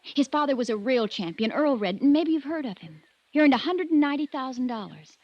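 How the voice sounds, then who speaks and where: An actress playing a moody, headstrong young daughter of a horse breeder in a 1950s radio drama, pert and capricious in manner. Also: female voice, high voice, expressive, fairly quick, no echo. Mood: wistful. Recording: muffled vintage radio recording.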